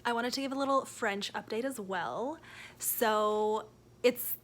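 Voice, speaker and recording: A woman's voice: fairly high pitch, measured pace, slight background noise. A young Canadian woman with a Canadian accent, chatting casually at home in a rambling vlog style, full of fillers and self-corrections. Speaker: book vlogger. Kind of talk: casual reading vlog chat. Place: home, seated in a rocking chair.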